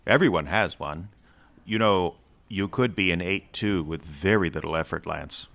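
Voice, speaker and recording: An actor playing a weary, kindly old man who seems to be Santa, gentle and knowing, in a radio drama. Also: low voice, normal speed, gravelly. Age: older.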